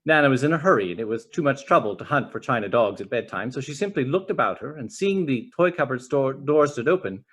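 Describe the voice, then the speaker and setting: A man narrates a storybook in an expressive read-aloud style. His voice sits at a middling pitch and moves up and down a great deal as he tells the tale. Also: fast talker, few pauses, husky. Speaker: storybook reader.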